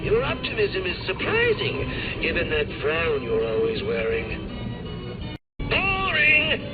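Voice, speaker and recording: A man's voice: wildly swooping pitch, takes it slowly, phone-quality sound, over loud music, exaggerated voice. An actor playing a manic, sadistic male clown villain in a video game, theatrical and mocking, breaking into cackling laughter. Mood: gleeful menace. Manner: theatrical, cackling, wildly swinging pitch, mocking.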